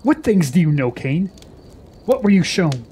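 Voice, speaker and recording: An actor playing a proud, scornful young warrior prince in a fantasy radio drama, speaking in a haughty, dramatic way. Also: male voice, high-pitched, very animated, a little slow, dark tone, slight background noise. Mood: urgent.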